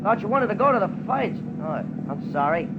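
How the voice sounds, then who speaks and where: An actor in a 1950s radio drama playing a chatty, streetwise Chicago cab driver with a matter-of-fact manner. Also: male voice, high-pitched, sing-song, a little fast, background noise. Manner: talkative, casual, matter-of-fact. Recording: muffled 1950s radio recording, loud music.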